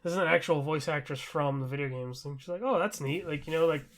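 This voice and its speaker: Young man, higher pitch, gravelly fry, enthusiastic co-host, casual chat. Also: fast talker. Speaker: podcast co-host.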